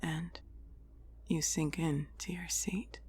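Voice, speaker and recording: A woman whispering a guided meditation in a breathy, soothing, deliberate voice with long pauses between phrases. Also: low voice, level tone, darkish tone, slight background noise. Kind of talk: whispered guided relaxation, ASMR-like scripted narration.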